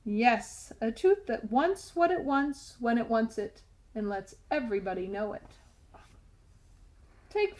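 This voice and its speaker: A woman's voice: sits high, a little mellow. A woman storyteller reading a children's picture book aloud expressively.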